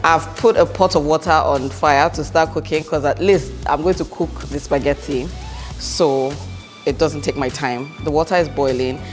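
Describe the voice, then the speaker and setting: A woman with a Nigerian accent hosting a cooking show, chatting casually in a kitchen. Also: low voice. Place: studio kitchen, indoors. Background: music.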